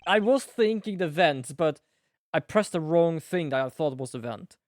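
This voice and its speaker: A man's voice: on the high side, expressive, normal speed, dry recording, clean studio sound. A man streaming a game, thinking aloud in casual, rambling commentary and swearing now and then.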